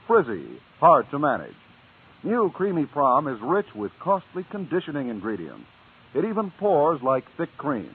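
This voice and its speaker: A man's voice: on the high side, husky. A man announcing and narrating in polished, emphatic 1950s radio advertising style. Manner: polished, emphatic sales delivery. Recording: tinny old radio broadcast.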